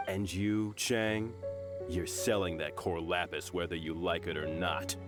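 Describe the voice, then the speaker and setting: A man's voice: deep voice, fairly even intonation, unhurried. An actor playing a menacing, mocking henchman in a video game, with a drawling, threatening delivery. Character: sneering menacing male loan-shark henchman, mocking bully. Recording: music under the voice.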